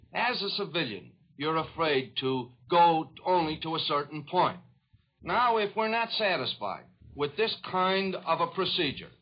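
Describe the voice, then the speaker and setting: American male union official, 1940s radio interviewee, highish voice, halting and emphatic, muffled vintage sound. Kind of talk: unscripted interview answers. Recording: dull 1940s radio recording.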